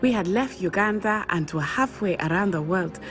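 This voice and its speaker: A woman's voice: medium pitch, expressive, normal speed, a bit bright, natural voice. A woman voicing a friendly, earnest teenage witch from Uganda with an East African accent in a fantasy video game. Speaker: actor voicing a game character. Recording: prominent game music underneath.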